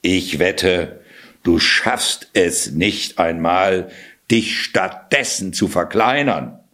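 A man's voice: low-pitched, a bit bright, heavily acted. A man voicing a clever, brave male cat in boots who talks slyly and theatrically in a storybook. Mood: taunting. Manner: quick-witted, theatrical, sly.

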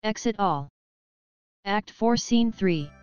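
A woman's voice: medium pitch, animated, slow, darkish tone. A woman narrator reading stage directions for an audio play. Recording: dull lo-fi sound, music behind.

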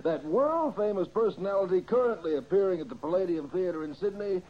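A man's voice: sits high, animated, brisk. An actor playing a genial, eager older father and police inspector, bantering on an old-time radio show. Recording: muffled old radio recording.

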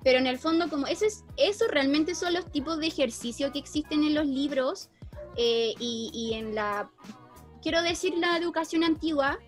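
A woman with a Chilean accent in a casual discussion, explaining things in an animated, slangy, informal way. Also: high-pitched, moderate pace, faint music behind. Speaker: teacher.

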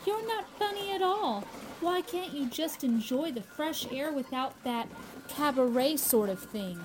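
A woman's voice: high voice, animated, a little slow. A woman playing a prim, sensible young woman in an old-time radio drama, speaking properly and matter-of-factly. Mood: reproving. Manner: proper, reproving, matter-of-fact.

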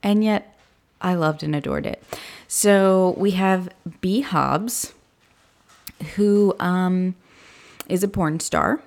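A woman co-hosting a book podcast, with a slightly raspy voice, talking casually and laughing often. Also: lowish voice, takes it slowly, a little mellow. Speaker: podcast co-host. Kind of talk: casual chat, book review.

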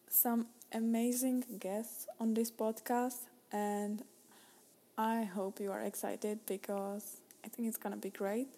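A woman's voice: a little high, mellow. A young Czech woman with a Czech accent, hosting a solo podcast and talking in a rambling way, full of fillers and restarts. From Czech Republic. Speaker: podcast host.

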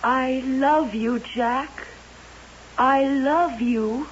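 A woman's voice: high-pitched, extremely slow, a little hiss or hum. An actress playing an anguished, pleading woman in an old-time radio melodrama. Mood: tender, pleading. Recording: muffled old radio broadcast.

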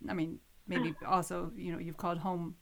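A woman's voice: lowish voice, flat delivery, normal speed. A woman hosting a podcast, delivering narration and interview questions.

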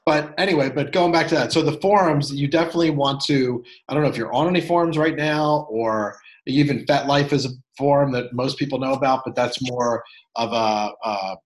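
Male podcast host, rambling advice-giver, halting delivery, average pitch. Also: rough recording. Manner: rambling, halting, full of fillers. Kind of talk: casual advice chat.

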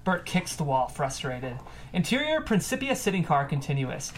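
A woman's voice: deep voice, very animated, normal speed, slight background noise. A woman reading stage directions from a script as the narrator.

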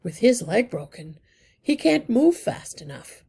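A woman's voice: on the high side, a little slow, vocal fry, slightly rough, pitched above the performer's own voice, exaggerated voice. A woman voicing a practical young rat. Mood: explaining. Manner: calm, matter-of-fact explaining. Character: practical, brave young male rat leader.

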